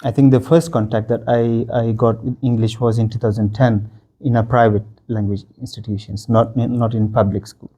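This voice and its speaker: A man's voice: medium pitch, fairly even intonation, normal speed, dark tone, husky. A man in his thirties from Afghanistan, whose first language is Pashto, answering interview questions in a hesitant way with many fillers and restarts. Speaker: interview guest.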